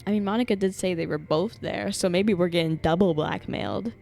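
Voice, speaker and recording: Expressive woman game streamer over music. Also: fairly low pitch, brisk, gravelly. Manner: expressive, sweary, incredulous reactions. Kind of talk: live reaction and dramatic read-aloud of game text.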